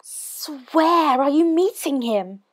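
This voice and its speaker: A sly male snake from a children's story, voiced by a woman. His words are drawn out slowly in an insinuating way. The pitch is high and slides about theatrically. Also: expressive. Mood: sly, luring. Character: sly male snake, smooth-tongued, luring, easily frightened.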